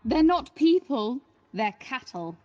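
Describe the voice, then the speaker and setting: A woman's voice: high voice, big pitch swings, takes it slowly, a little mellow, dull audio, exaggerated voice. A woman playing a haughty, scornful rich mother in a dystopian audio drama. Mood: angry contempt.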